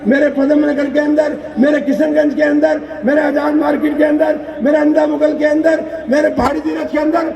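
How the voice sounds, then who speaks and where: A man giving a loud, declamatory campaign speech as a politician, using repeated phrases and rhetorical questions. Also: very high-pitched, level tone, moderate pace, creaky, hardly any pauses, crowd noise. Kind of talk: political rally oratory. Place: large public political gathering. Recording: echoey PA at crowded rally, distant mic.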